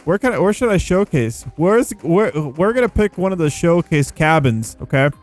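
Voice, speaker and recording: A man's voice: a little high, lively intonation, moderate pace, a little mellow. A man hosting a gaming video with casual, rambling commentary, playful and full of informal slang. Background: background music.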